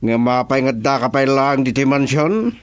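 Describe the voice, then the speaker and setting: An actor playing a domineering crime boss in a radio drama, with an Ilocano accent. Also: male voice, mid-range voice, moderate pace, clear, resonant tone, dry recording, natural voice. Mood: irritated. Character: domineering male crime boss giving orders to henchmen.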